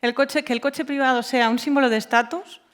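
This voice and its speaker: Woman from spain, highish voice, chatting on a panel, colloquial, repeats words for emphasis. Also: steady tone, brisk, smooth. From Asturias, Spain. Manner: colloquial, personal anecdotes, emphatic repetition. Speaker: panel speaker. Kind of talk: informal round-table talk.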